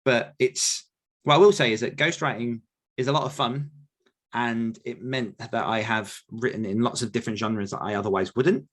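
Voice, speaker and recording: A man's voice: medium pitch, normal speed. A man with an English accent answering listener questions in a casual, rambling podcast chat, with frequent fillers and restarts.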